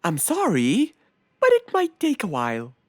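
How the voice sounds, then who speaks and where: A performer voicing an obliging, upbeat male fox handyman in a children's cartoon, with an animated, reassuring manner. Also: mid-range voice, a little slow. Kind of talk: children's cartoon character acting. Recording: music behind the voice.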